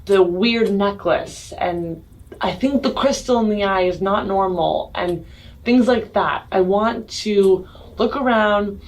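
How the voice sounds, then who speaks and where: A woman's voice: mid-range voice, slow pace, low-quality recording. A woman talking casually as a player in a tabletop game, thinking aloud a little tentatively. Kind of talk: casual improvised game talk.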